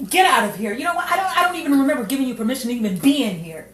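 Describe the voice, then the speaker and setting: A woman's voice: high voice, animated, very fast, fairly bright tone. Woman playing a defiant showgirl, far off. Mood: angry. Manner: curt, defiant. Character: defensive, tough nightclub showgirl with secrets. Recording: echoey, distant, faint room noise.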